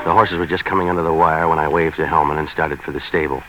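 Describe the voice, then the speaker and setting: An actor playing a cynical private investigator in 1940s radio noir, narrating and sparring in a terse, deadpan, wisecracking way. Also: male voice, fast talker. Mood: world-weary. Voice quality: low-pitched voice. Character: cynical hard-boiled male private investigator for hire. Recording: dull, noisy 1940s radio recording.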